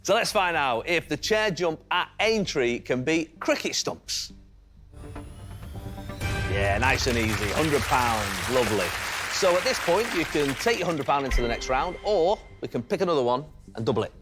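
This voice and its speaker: Man, Manchester accent, game show host, bouncy lively delivery, fairly high voice, faint music behind. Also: normal speed, a crowd in the background. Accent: Manchester English. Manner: chirpy, encouraging, builds suspense. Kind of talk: upbeat quiz show hosting. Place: TV studio.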